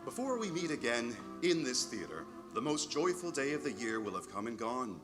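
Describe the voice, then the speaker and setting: An actor playing a genial, earnest host and announcer of a 1940s radio theatre. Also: male voice, medium pitch, sing-song, normal speed, over music, natural voice. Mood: solemn, heartfelt.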